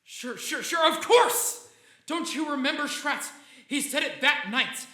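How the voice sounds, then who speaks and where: An actor playing an obsessed, excitable and defensive scientist in a theatrical, agitated live radio drama. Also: high voice, measured pace. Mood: feverish excitement. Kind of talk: live 1940s-style radio drama acting. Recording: roomy live stage sound, faint music behind.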